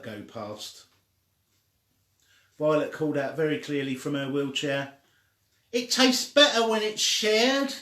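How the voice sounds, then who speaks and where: Slow-reading man in an echoey room. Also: mid-range voice. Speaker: story reader. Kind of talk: children's story read aloud.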